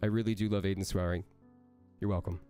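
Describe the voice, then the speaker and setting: A man's voice: deep voice, steady tone, fast, warm tone, smooth, faint music behind. A young man, a voice actor, reading out and answering chat questions on a livestream, with a nasal voice that sounds like he has a cold, playful and joking.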